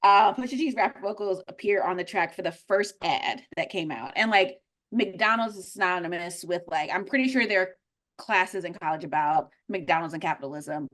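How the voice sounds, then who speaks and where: A woman's voice: lowish voice, normal speed, fairly bright tone. A woman whose first language is Spanish, co-hosting a podcast in casual chat, talking with many ums and likes. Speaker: podcast co-host.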